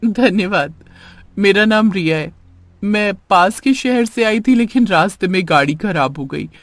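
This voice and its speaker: A man's voice: high-pitched, measured pace, a bit bright, no room sound, pitched above the performer's own voice. A man voicing a polite young woman, stranded and mysterious, in a horror cartoon story. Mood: polite, pleading. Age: young adult.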